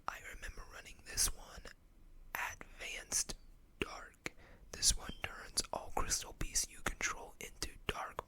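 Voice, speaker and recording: A host whispering gently and unhurriedly into a close microphone, reading out card game text in ASMR style with small asides.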